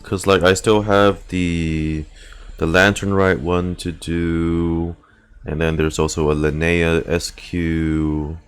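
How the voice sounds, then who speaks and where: Male game streamer, very low voice, slow delivery, casual rambling think-aloud chat. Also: little pitch movement, clean tone. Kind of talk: casual livestream chat while gaming.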